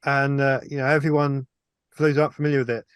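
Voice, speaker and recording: A man in his thirties with a medium-pitched voice joins a casual panel discussion. He explains things haltingly, feeling for words, on a dry headset recording. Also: little pitch movement, clean tone, dry recording. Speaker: film review panelist. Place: indoors on a video call.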